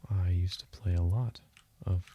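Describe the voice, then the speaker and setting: A man giving calm, soothing game commentary in a hushed, deliberate voice that drifts toward a whisper, as if for sleep. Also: deep voice, muffled recording. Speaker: gaming video host. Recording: faint hiss with keyboard and mouse clicks. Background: keyboard typing and mouse clicking.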